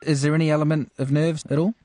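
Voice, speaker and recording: A man's voice: a little high, flat delivery, fast talker, noisy background. A man interviewing a boxer on sports radio, stacking up lead-ins before each question.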